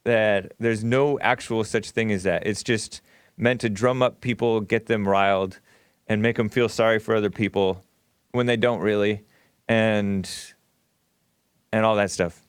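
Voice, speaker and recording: A man's voice: lowish voice, fairly even intonation, unhurried, clean tone, dry recording, studio quality. A middle-aged man, co-host of a stream, talking in a casual, rambling monologue with many fillers and self-corrections.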